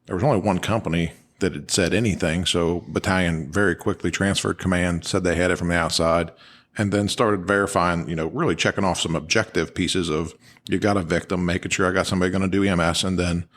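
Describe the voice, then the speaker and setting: A man's voice: low voice, steady tone, a little fast, a bit bright, a little hiss or hum. A man co-hosting a podcast, explaining and analyzing things in an informal, conversational way. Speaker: podcast co-host. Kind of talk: conversational analysis of firefighter radio traffic.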